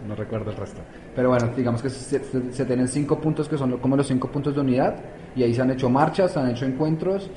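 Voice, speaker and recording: A man's voice: medium pitch, fast talker, warm tone, a little hiss or hum. A man from Colombia, answering interview questions as a youth activist, hesitant with many fillers and restarts.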